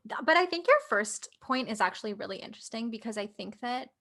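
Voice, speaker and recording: A woman's voice: fairly high pitch, animated, fast, fairly bright tone. A young woman with a Canadian accent, co-hosting a podcast and talking things through with many fillers. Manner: thoughtful, filler-heavy, many "like"s. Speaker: podcast co-host. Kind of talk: casual conversation.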